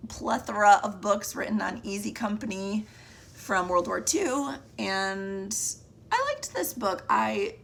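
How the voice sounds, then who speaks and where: A woman with a deep, cracking voice chatting casually about the books she read, often trailing off and restarting. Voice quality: deep, gravelly voice. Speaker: book vlogger. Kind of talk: casual monthly reading wrap-up.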